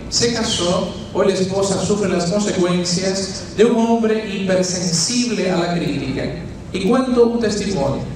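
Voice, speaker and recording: Dominican friar giving a sermon: high-voiced man, questions and homely sayings, caught from afar in a very reverberant church. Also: a little hiss or hum. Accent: Dominican Spanish. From Dominican Republic. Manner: teaching with rhetorical questions and folksy asides. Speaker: preacher, friar. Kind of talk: sermon at a healing retreat. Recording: very echoey church, distant mic.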